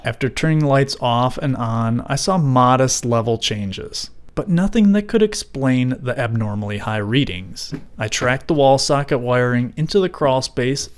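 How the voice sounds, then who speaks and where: A middle-aged man narrating a scripted explainer in measured, formal wording with dry, wry asides. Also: medium pitch. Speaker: video creator and narrator.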